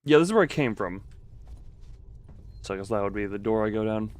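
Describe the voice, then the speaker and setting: A man's voice: fairly low pitch, expressive, brisk. A man streaming a video game with casual, wry commentary, often muttering to himself as he plays.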